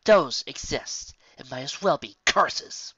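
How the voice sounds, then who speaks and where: Man as a firm, bright-voiced unicorn mare. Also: fairly high pitch, lively intonation, takes it slowly, husky, no echo, dull audio, heavily acted. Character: earnest, firm young female unicorn musician pony.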